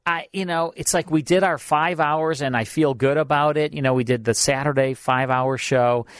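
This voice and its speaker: A man's voice: on the high side, steady tone, moderate pace, smooth, dry recording. A man hosting a radio show, chatting casually with his co-host and reading promos, with plenty of fillers, in a studio. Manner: chatty, repeats himself, fillers. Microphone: studio mic.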